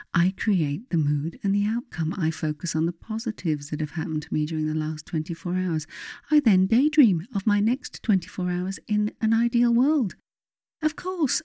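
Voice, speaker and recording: A woman reading her own self-help writing as a meditative guide, in a measured, softly instructive way with deliberate pauses. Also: on the low side, no echo, studio quality.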